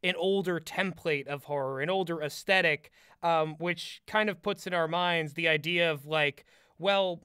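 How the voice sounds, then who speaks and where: A man's voice: a little high, unhurried, bright, forceful tone, clear, resonant tone, no room sound, studio quality. A man co-hosting a conversational analytical discussion, thoughtful and articulate in how he builds his ideas. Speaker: podcast co-host and commentator.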